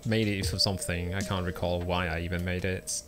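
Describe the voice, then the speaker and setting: A man's voice: deep voice, flat delivery, a little fast. A man streaming a video game, chatting casually with viewers and rambling with many ums and uhs. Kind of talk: casual live-stream commentary while gaming. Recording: game music playing behind. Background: game music.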